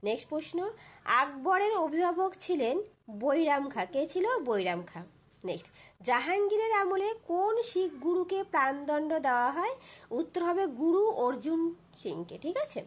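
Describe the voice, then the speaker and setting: Female tutor, very high voice, walking school pupils through questions, often checking they follow. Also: very animated, measured pace. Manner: instructional, frequent checking tag questions. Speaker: teacher.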